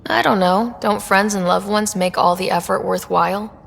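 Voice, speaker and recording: A woman's voice: medium pitch, fairly even intonation, normal speed, creaky, fairly bright tone. A woman playing an earnest, inquisitive young female explorer in conversational video game dialogue. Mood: curious, friendly. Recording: long reverb, music behind.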